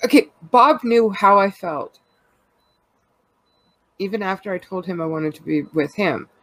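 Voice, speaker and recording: A Canadian woman talks openly in a loose, rambling way, full of fillers and quick laughs. Her voice sounds stuffed up from a cold, with a gravelly fry running under it. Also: medium pitch, animated, fairly bright tone, no echo, poor audio. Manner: rambling and candid, laughs often, many fillers. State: congested with a cold. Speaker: podcast co-host. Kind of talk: casual personal chat.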